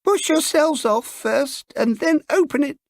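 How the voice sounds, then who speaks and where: A man voicing an eccentric old woman in a storybook reading, with a squeaky, piping voice and a brisk manner. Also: animated, vocal fry, no echo, heavily acted.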